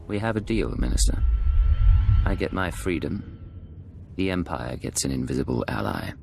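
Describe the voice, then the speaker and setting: Very deep, gravelly secret agent. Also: male voice, animated, normal speed, a little mellow, faint music behind. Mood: resolute. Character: male Imperial secret agent, cool, sardonic. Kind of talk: scripted video game dialogue.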